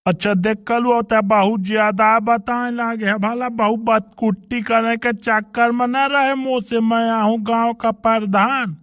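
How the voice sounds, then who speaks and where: Man playing a slow, high-voiced pompous headman. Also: fairly even intonation, fairly bright tone, dry recording, clean studio sound. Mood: threatening. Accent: Bundelkhandi. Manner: pompous, bossy. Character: pompous older male village headman, corrupt and threatening. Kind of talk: rustic cartoon comedy dialogue.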